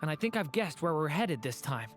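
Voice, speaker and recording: Soft earnest boy, former dragon, low for her, game cutscene. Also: very animated, fairly quick, soft background music. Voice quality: soft-spoken, earnest, hesitant. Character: gentle young boy who was once a dragon. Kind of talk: game voice acting.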